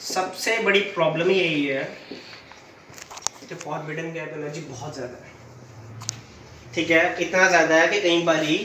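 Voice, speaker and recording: Man, hoarse, physics teacher, high pitch, echoey room heard from a distance, faint hiss. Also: steady tone, measured pace, long pauses, pushed and bright. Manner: asks questions and answers them himself; uses everyday stories as examples. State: sore throat. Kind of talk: classroom lecture.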